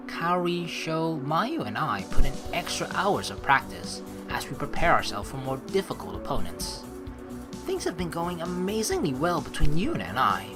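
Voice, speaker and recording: Animated young man doing a game playthrough. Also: fairly high pitch, normal speed. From Netherlands. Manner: crude joking, swearing, animated. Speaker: let's play streamer. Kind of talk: game playthrough reading aloud with casual chat. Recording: music behind the voice. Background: video game music.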